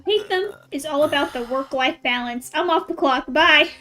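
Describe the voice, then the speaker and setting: A woman streamer chats casually and giggles as she reacts to a game. Her voice sits high and sounds bright and crisp, with soft game music playing behind her. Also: normal speed. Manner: giggly, chatty, reacting aloud. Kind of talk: casual gameplay commentary.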